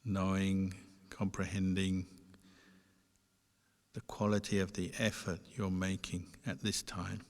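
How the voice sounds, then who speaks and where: Slow, low-voiced man guiding meditation. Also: flat delivery. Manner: measured, pausing between short phrases. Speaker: meditation teacher. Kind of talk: guided meditation instruction. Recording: long reverberant hall sound.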